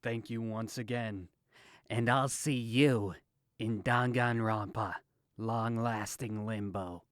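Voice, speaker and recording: A man's voice: fairly low pitch, steady tone, takes it slowly. A man, a voice actor, giving a casual, appreciative message to viewers in an informal way.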